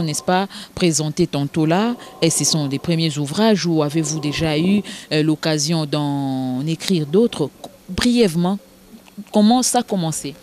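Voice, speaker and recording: A woman, hosting a formal television interview in a hesitant way, with many filler pauses. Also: sits low, darkish tone, a little hiss or hum. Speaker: TV show host.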